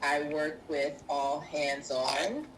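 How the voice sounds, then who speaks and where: A woman interpreting sign language into speech for a deaf guest, in measured, halting phrases. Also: low-pitched, fairly even intonation. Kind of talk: live spoken interpretation in an interview. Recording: noisy field recording.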